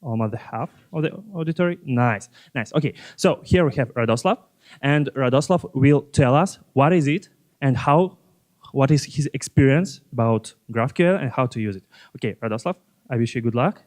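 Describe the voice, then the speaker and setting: A man introduces the next speaker at a tech conference. His words are short and informal, with slightly non-native phrasing. His voice has a middle pitch. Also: moderate pace, a little mellow. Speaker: event host. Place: conference hall.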